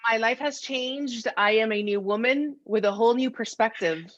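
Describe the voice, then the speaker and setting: Playful, slightly high-voiced woman podcaster. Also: normal speed, no room sound. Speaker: podcast co-host. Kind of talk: casual comedic podcast banter. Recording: dull, dry audio.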